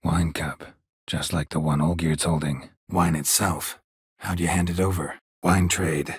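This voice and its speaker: A gruff, world-weary monster hunter from a fantasy video game, with a deep and extremely husky, gravelly voice. He speaks in clipped, deadpan phrases. The recording is dry and close. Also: unhurried, no echo, heavily acted. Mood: terse, stoic. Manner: terse, deadpan, clipped sentences.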